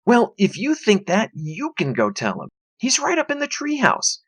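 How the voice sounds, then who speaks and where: A man's voice: high voice, huge pitch swings, moderate pace, slightly rough, no room sound, voice pushed up, heavily acted. A man voicing a glum, matter-of-fact young boy with a plainspoken, wry manner. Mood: wry resignation.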